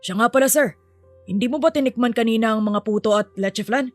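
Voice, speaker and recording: A woman's voice: a little high, brisk, dry recording, soft background music, natural voice. A woman voicing a frank, matter-of-fact male butler in a dramatised story. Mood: blunt.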